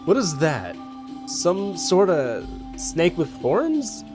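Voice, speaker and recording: Man as proud young king of the gods: exasperated, imperious, animated delivery, music behind. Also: on the high side, drawn-out words, exaggerated voice. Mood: irritated dread. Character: proud, irritable young male god-king. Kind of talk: audio drama acting.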